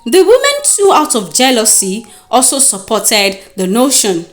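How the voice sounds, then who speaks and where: A woman's voice: on the high side, huge pitch swings, measured pace. A woman with a Nigerian accent narrating a scripted folktale dramatically as a storyteller. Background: music.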